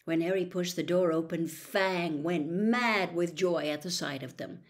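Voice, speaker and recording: A woman's voice: on the low side, measured pace. A woman reading a children's fantasy novel aloud as a storybook narrator. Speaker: audiobook reader.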